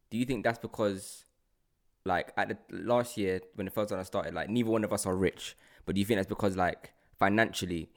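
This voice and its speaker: A young man co-hosts a casual chat. He rambles through his stories in an animated way, leaning on everyday slang. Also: low-pitched. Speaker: podcast co-host.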